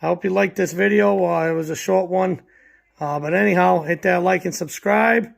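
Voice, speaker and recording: Hoarse gravelly-voiced man with a drawl. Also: high-pitched, normal speed. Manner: relaxed, folksy, with many fillers. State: hoarse from a cold. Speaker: channel host. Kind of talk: casual product review vlog.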